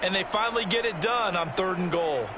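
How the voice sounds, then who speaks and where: A man's voice: high-pitched, fairly quick, very noisy, lightly acted. A man voicing a conversational color analyst breaking down football plays in a video game. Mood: analyzing. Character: male color analyst, chatty and knowing. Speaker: color commentator. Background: music.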